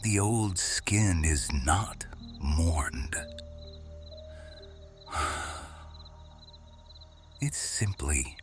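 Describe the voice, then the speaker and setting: Man voicing a very deep, slow serpent guardian. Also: lively intonation, faint music behind, exaggerated voice. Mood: calm, consoling. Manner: slow, measured, hushed half-whisper. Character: watchful ancient male naga serpent shifter guardian. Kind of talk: ASMR roleplay.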